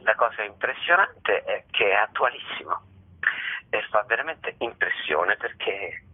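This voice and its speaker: A man's voice: lowish voice, expressive, unhurried, slightly rough. A man speaking as an interview guest, explaining things in a discursive, emphatic way with repetitions. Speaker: theatre director, interview guest. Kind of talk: spontaneous radio interview talk. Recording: muffled, narrow telephone line.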